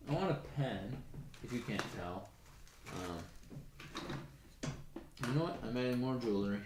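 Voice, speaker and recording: A raspy-voiced man livestreaming from his computer, rambling casually to his chat, swearing and talking to himself as he types. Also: medium pitch, background noise. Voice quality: raspy, gravelly. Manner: rambling, swearing, talks to chat while typing.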